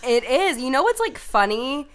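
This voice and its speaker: Bright, crisp-voiced young woman. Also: sits high, sing-song, normal speed. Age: 20s. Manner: playful, giggly. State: slightly hoarse. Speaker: podcast co-host. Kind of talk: casual chat.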